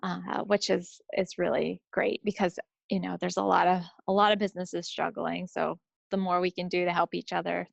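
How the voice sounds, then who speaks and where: Low, creaky-voiced woman in casual podcast chat. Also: flat delivery, moderate pace, no room sound, highs cut off. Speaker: podcast co-host.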